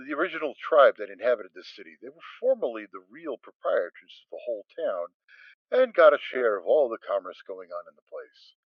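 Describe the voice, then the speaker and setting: A man's voice: mid-range voice, no echo, highs cut off. A man narrating a scripted story as a dramatic reading.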